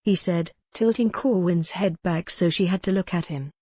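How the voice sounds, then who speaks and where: Low-voiced woman narrating a novel. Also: moderate pace, dark tone, slightly rough, dry recording, studio quality. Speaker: audiobook narrator. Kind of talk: scripted dramatic narration of a historical novel.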